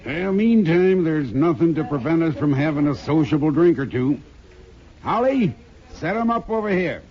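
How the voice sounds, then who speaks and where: A hearty cattle buyer with a fairly high voice. He is sociable and expansive, in old radio western style. The sound is dull, like an old broadcast. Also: animated, normal speed. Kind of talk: 1950s radio western acting. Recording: dull 1950s radio recording.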